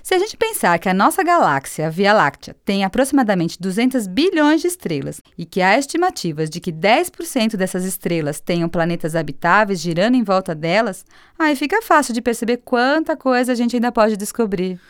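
A woman's voice: mid-range voice, very animated, brisk, clean tone, no room sound, studio quality, lightly acted. A woman with a Brazilian accent playing a patient, friendly astronomer who explains things in a scripted radio drama. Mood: patient explaining. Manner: explanatory, didactic. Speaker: actor in an educational radio drama.

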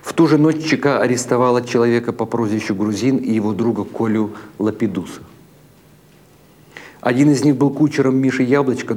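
A man narrating literary short stories as a dramatic audiobook, in a measured, deliberate way with expressive phrasing. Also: medium pitch. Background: music behind the reading.

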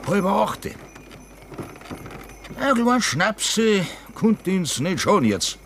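An actor playing a gruff Bavarian man with a Bavarian accent who drives a sleigh through the snow in a radio play. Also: high voice, very animated, takes it slowly, noisy background, exaggerated voice. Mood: coaxing. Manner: gruff, blunt, grumbling. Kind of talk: 1960s radio play acting.

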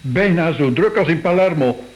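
A man's voice: fairly high pitch, lively intonation, moderate pace, natural voice, rough recording. An actor playing an anxious, devoted male museum curator in a radio play, emotive and exclamatory. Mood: hurried, impatient.

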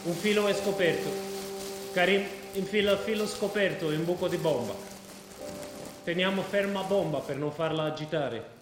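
An actor playing a grave cell leader in a radio drama, speaking with dropped articles. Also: male voice, high-pitched, moderate pace, lightly acted. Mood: methodical. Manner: broken grammar with dropped articles. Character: grave foreign bomb-maker, leader of a terror cell. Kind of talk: stage radio drama, narrating actions in present tense. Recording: roomy stage sound with music.

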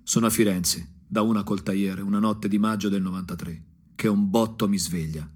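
An actor playing a disillusioned provincial ex-punk looking back with bitter irony, in a husky, brooding radio drama monologue with dramatic pauses. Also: male voice, on the low side, steady tone, close to an everyday voice. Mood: sardonic, brooding. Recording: music playing under the voice. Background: guitar music.

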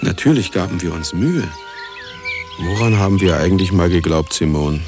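An actor playing a jaded older male scientist in a radio play, narrating in a wry, regretful way with a gravelly voice. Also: deep voice, moderate pace, lightly acted. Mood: regretful, reflective. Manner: wry, dismissive, reflective. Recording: studio radio play with music behind.

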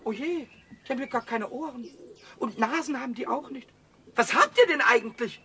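A man playing a cheeky, childish little kobold in a 1970s children's radio play, squeaky and piercing, stammering over repeated words, excitable and stubborn. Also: fairly quick. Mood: excited, indignant. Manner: stammering word repetitions, singsong rhyming.

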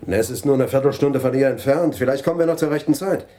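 An actor playing a businesslike, decisive police detective in a radio crime drama. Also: male voice, medium pitch, quick pace, dark tone, lightly acted. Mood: businesslike, probing.

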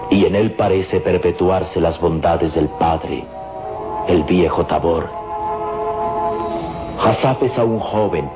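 An actor playing a solemn, devoted Egyptian man in an old radio serial, speaking reverently and declaiming in style. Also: low voice. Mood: earnest. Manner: slow, declamatory, reverent delivery. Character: solemn, devoted Egyptian man in love, loyal to his people. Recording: dull old radio recording with music. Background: prominent dramatic music.

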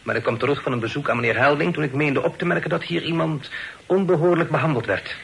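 An actor playing a proud, chivalrous young gentleman in a radio play, speaking formally and firmly with measured indignation. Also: a little high, gravelly, slightly rough, full character voice. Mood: stiffly indignant. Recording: hissy old radio drama recording.

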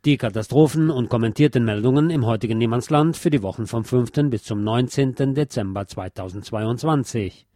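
A man presents news commentary as a radio host. His pitch is average for a male voice. Clear background noise and clicks run through the recording. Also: brisk, few pauses.

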